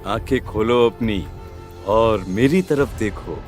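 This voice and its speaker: A man's voice: fairly low pitch, slow pace, over loud music. Man acting a sinister, leering village husband. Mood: leering menace. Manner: menacing, leering. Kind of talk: horror cartoon acting.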